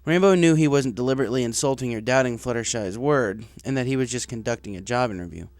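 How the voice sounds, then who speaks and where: A man narrates a story from a script in a middling-pitched voice. The sound is dry and close, with hardly any room around it. He delivers it as an audiobook reader would. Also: fast, a little mellow, no echo. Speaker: audiobook reader of fan fiction.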